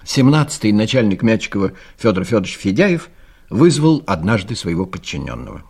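Male storyteller for comic audio drama: raspy, lowish voice, highly animated scripted delivery. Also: brisk. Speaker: narrator.

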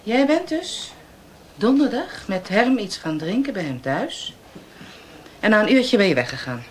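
A woman's voice: mid-range voice, sing-song, a little slow, slight background noise. An actress playing a teasing, sardonic female police inspector in a naturalistic radio drama. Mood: probing, sardonic. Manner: sardonic, probing.

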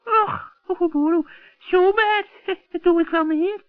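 A performer playing a scheming old witch in a children's radio play, wheedling and theatrical with drawn-out words. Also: extremely high pitch, dry recording. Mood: feigned innocence, sly. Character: scheming old female witch giving magic lessons. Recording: dull vintage radio recording.

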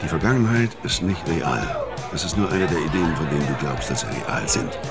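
A man's voice: extremely low pitch, lively intonation, a little fast, a loud crowd. An actor playing a weary, hard-bitten hitman in a radio crime drama, terse and matter-of-fact as narrator and in dialogue. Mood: quiet, insistent. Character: weary, hard-bitten male hitman recounting his brutal past. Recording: music behind the voice.

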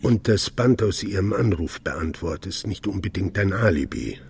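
Gravelly, sardonic police inspector. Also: male voice, low-pitched, animated, moderate pace, darkish tone, full character voice. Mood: cold. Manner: dry, sarcastic, accusing. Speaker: interrogator. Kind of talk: radio play acting.

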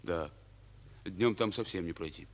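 An actor playing a stoic, exhausted soldier in a Russian radio war drama, answering in terse military phrases. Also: male voice, low voice, unhurried. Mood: grim, tired. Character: stoic, exhausted army messenger, loyal and terse.

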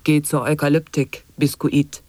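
An actor playing an eccentric chanter of nonsense sound poetry in an avant-garde radio play, husky and prone to whispering. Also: male voice, fairly high pitch, flat delivery, measured pace, darkish tone, no echo, lightly acted. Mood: incantatory, playful.

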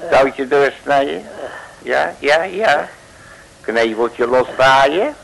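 An actor playing a boastful, bumbling male detective, blustering and shouting in a 1950s radio play. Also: medium pitch, expressive, extremely slow, gravelly, slight background noise, heavily acted, rough recording. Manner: blustering, with made-up curses and sudden shouts.

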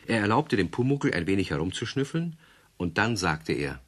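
A man narrating a children's radio play in calm, scripted storytelling. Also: fairly low pitch, moderate pace, a little hiss or hum.

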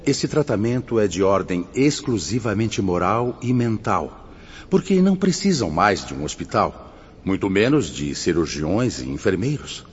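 A man's voice: fairly low pitch, a little mellow. A Brazilian man acting in a radio drama as a solemn, authoritative spirit mentor, declaiming like a preacher. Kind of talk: radio drama acting, oratorical sermon-like address. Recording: muffled, noisy, long hall reverb.

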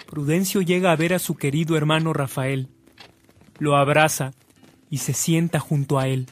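A man's voice: on the high side, fairly even intonation, normal speed, lightly acted. A man narrating a scripted radio drama.

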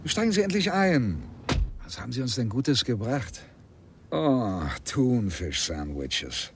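A man's voice: medium pitch, sing-song, slow, a little hiss or hum. An actor playing a sceptical, condescending male lawyer in a radio drama, wry and insinuating. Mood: brusque, familiar. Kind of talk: German radio drama acting.

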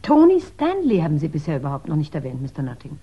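Composed, husky, dark-voiced widow. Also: female voice, low-pitched, huge pitch swings, quick pace, exaggerated voice. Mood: composed, pointed. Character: composed, attentive widow hosting a suspected friend. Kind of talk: 1960s German radio crime drama acting. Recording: dull, band-limited 1960s radio recording.

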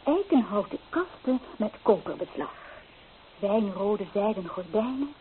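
A woman playing an excitable, proud young engaged granddaughter in a radio play, gushing and coaxing. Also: medium pitch, measured pace, lightly acted. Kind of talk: Dutch radio play acting. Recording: tinny old radio recording with hiss.

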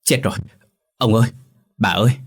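A man voicing a panicked young servant girl in a story, shouting and stammering. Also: on the low side, a little fast, breathy edge.

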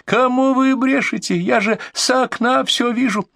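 Man putting on a shrill furious woman, screaming and abusive, very high voice, gravelly edge, audiobook reading. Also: normal speed, no echo, clean studio sound. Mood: shrieking. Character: furious shrieking asthmatic woman caller.

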